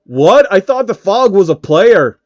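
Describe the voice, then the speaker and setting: A man voicing a hot-headed young male player who shouts and swears when things go wrong. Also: sits high, big pitch swings, measured pace, lo-fi sound, voice pushed up. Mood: angry. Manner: loud, angry outbursts, swearing.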